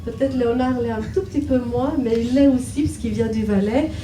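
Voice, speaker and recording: Woman, moderator, formal, raised pitch, roomy sound, mic far off, music. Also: fairly quick. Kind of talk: event moderation.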